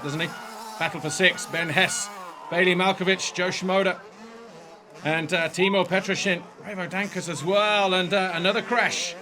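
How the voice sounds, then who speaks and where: High-voiced male race commentator. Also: background noise. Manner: brisk, excited play-by-play. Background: music bed.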